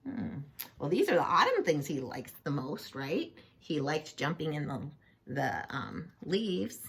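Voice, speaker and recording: A woman reading a children's picture book aloud, playful and expressive, with chatty asides and questions to the listener. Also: low-pitched, a little slow, vocal fry, slightly rough.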